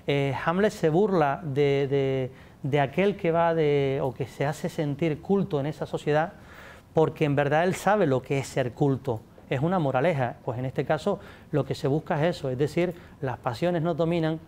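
A man's voice: a little high, darkish tone, slight background noise. A man in his forties, a native English speaker, answering interview questions about literature in a studio, with lots of restarts and gestures. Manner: gestures a lot, many restarts, addresses audience. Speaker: guest speaker, literature scholar. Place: studio set with pink backdrop. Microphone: table mic.

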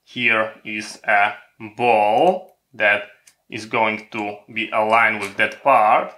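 Non-native english guy doing a step by step gear tutorial, really slow, crisp bright voice. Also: on the low side. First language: not English. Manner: methodical, step-by-step explaining. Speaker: tutorial presenter. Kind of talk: hands-on equipment tutorial narration.

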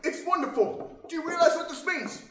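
A cheery working-class hubby in an old radio play, talks fast, high voice, echoey. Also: male voice, fairly bright tone, distant mic. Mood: delighted. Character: cheerful, chatty working-class husband of the landlady. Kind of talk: old-time radio drama acting.

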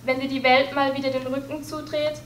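A woman's voice: high-pitched, level tone, brisk, recorded from a distance, a little hiss or hum. Earnest teen girl reciting slam poetry. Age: teens. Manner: earnest, rhythmic rhyming delivery, reading from paper. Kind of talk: spoken word poetry recital. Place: dark stage, indoors. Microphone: stand mic at some distance. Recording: echoey hall, voice a bit off-mic.

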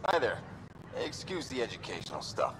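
A man's voice: medium pitch, very animated, a little fast, a crowd in the background, lightly acted, low-quality recording. An actor playing an upbeat, chatty male hacker recruiting for his movement in a video game. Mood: friendly.

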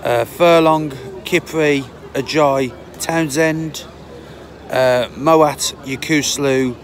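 A man, hoarse from a sore throat, chatting through a football match-day vlog to his phone outdoors with lots of fillers. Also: on the high side, steady tone, very slow. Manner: chatty, rambling with many fillers. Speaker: football fan vlogger. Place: outdoors on a city street on a cold day. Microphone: phone held at arm's length.

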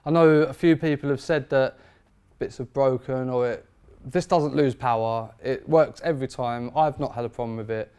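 A man, talking shop as a barber seated in his barber shop. His voice is smooth and resonant, and his speech is emphatic and informal. It rings with eager conviction. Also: medium pitch, fairly even intonation, moderate pace, darkish tone. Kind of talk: product review chat.

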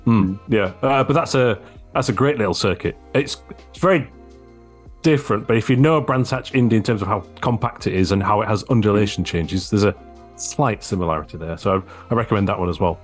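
A man's voice: lowish voice, brisk, soft background music. A young man hosting a podcast, chatty and enthusiastic, talking into a large microphone over a video call. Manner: chatty, enthusiastic, asks leading questions. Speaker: podcast host. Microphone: large studio microphone on a video call.

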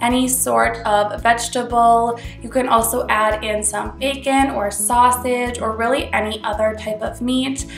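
A young woman with a slightly high voice, a bit congested from a cold, talks through a recipe in a chatty, upbeat way. She is recorded in a roomy home kitchen, with light music playing underneath. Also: fairly even intonation, a little slow, gravelly, few pauses. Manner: chatty and upbeat, explaining step by step. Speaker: cooking channel host. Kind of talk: casual cooking tutorial voice-over.

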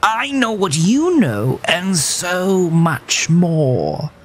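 A man's voice: sits high, very animated, drawn-out words, a little hiss or hum, voice pushed up, exaggerated voice. A man voicing a genteel, eccentric horse in a tuxedo, speaking in prim, theatrical, old-fashioned formal phrases for a horror audiodrama. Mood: airy, amused. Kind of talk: horror audiodrama character acting.

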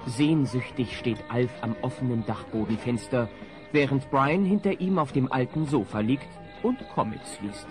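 A man's voice: medium pitch, lively intonation, moderate pace, over music, close to an everyday voice. A man playing the wry, dramatic narrator of a comedy audio play. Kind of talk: scripted narration.

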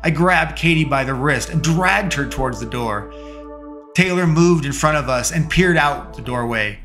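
Man, story narrator, measured dramatic reading, bright voice, faint music underneath. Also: fairly high pitch, lively intonation. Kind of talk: first-person story narration.

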